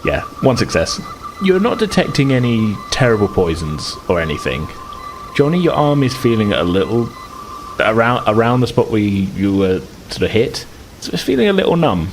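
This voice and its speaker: A man's voice: lowish voice, very animated, normal speed, over music, low-quality recording. A man improvising casual narration as game master, explaining things in a rambling, hedging way.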